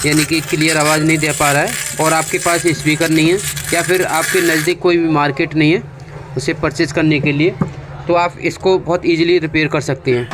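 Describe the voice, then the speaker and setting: An Indian man with a somewhat high-pitched voice presents a hands-on tutorial. He talks like a technician showing a colleague each step, conversational and repetitive. There is clear noise behind his voice. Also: fairly even intonation, a little fast. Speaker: phone repair technician and tutorial host. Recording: clearly noisy background.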